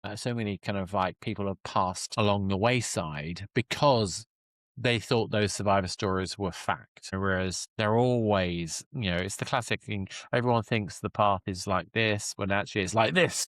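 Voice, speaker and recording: A man's voice: on the low side, moderate pace, fairly bright tone, no echo. A man hosting a conversational interview podcast, talking in an emphatic, slightly wordy way. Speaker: podcast host, works in video games. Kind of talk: casual interview chat.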